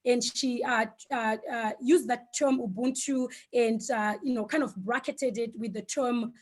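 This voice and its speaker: A woman whose first language is Shona, giving an online talk over a video call, with frequent fillers and gentle hesitations. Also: on the high side, steady tone, unhurried. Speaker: guest speaker.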